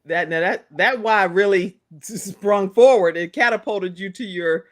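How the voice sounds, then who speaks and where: A woman's voice: mid-range voice, unhurried. A woman from the United States interviewing a guest conversationally as a talk show host.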